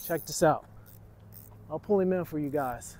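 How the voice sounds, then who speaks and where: A man's voice: on the high side, moderate pace, a little mellow, a little hiss or hum. Chatty man fishing off a dock. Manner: chatty, addresses viewers as guys. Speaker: fishing channel host. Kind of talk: casual outdoor fishing vlog. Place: outdoors on a waterfront dock. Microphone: camera on a tripod, sometimes selfie-held.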